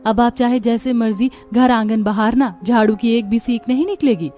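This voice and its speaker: A woman's voice: on the high side, moderate pace, dark tone, faint music behind, close to an everyday voice. A woman voicing a resourceful, cheerful young daughter-in-law in a cartoon story, eager and respectful. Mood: eager, helpful. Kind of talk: Hindi cartoon story dialogue.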